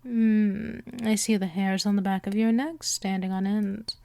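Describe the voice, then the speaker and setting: A woman voicing a gentle but possessive vampire mistress in a hypnosis ASMR roleplay, coaxing softly and often slipping toward a whisper. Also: medium pitch, fairly even intonation, no room sound. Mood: teasing, seductive. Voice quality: soft, breathy whispering in places. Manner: slow, coaxing, drawn-out delivery.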